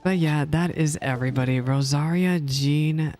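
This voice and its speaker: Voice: mid-range voice, moderate pace, a little mellow, soft background music. A game streamer giving casual live reaction commentary in a chatty, exclamatory way.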